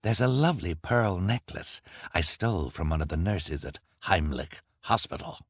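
A man's voice: low-pitched, animated, moderate pace, slightly rough, no echo, clean studio sound, voice pushed up. A man voicing a greedy, scheming villain who speaks in a smug, cold way.

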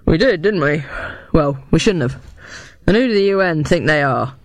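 A man voicing a belligerent war veteran cafe owner in an audiobook, with a gruff, gravelly voice and blunt manner. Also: fairly high pitch, fairly even intonation, slow, a little mellow, dry recording. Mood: grudging. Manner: blunt, muttering, colloquial.

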